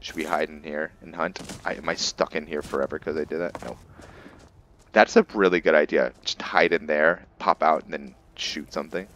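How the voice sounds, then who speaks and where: A man giving casual, improvised game commentary in a halting, think-aloud manner as he narrates a roleplay playthrough. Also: a bass voice, steady tone, fairly bright tone. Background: background game music.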